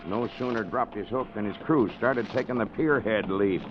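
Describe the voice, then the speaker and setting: An actor playing a gruff, chatty old harbor boatman with drawling nautical slang, in 1940s radio style. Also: on the low side, normal speed, close to an everyday voice. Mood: salty, chatty. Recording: muffled, noisy 1940s radio recording.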